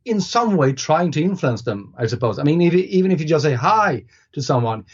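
A man's voice: on the high side, very animated, a little fast, slightly rough. A man whose first language is Swedish, a guest on a podcast, thinking aloud in casual conversation with frequent fillers and restarts. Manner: thoughtful, hesitant with many restarts and fillers. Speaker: guest author. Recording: slightly dull lo-fi sound.

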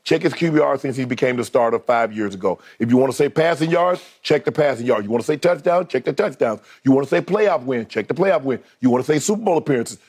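Husky man arguing sports stats. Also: on the high side. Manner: emphatic, listing stats, laughs often. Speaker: co-host. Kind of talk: sports debate.

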